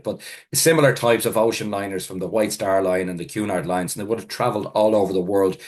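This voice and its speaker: Rambling Irish man with a low voice. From Ireland. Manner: rambling, self-correcting storyteller with many fillers. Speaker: food historian giving a talk. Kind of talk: informal lecture with anecdotes.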